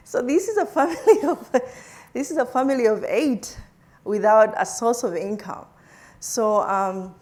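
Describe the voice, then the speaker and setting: A woman from Uganda, a scientist, telling her life story in an interview, with frequent laughs and many restarts. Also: mid-range voice. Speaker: plant scientist guest.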